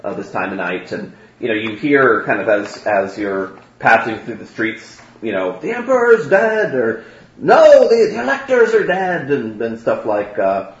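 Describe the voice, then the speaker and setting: A man running a tabletop game as game master, talking in a halting way with many restarts. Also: mid-range voice, big pitch swings. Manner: halting, full of fillers and restarts. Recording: dull, echoey room recording.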